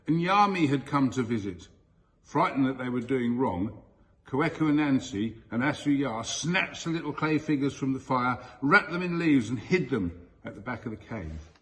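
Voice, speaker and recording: Guy reading a children's story, really unhurried, middle pitched voice, pauses for effect. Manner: deliberate, expressive storyteller pauses. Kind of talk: scripted storybook narration.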